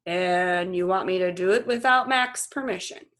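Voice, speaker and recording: A woman reading a children's novel aloud as a reluctant, doubtful father, measured and weary. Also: fairly low pitch, fairly bright tone, natural voice. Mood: firmly refusing.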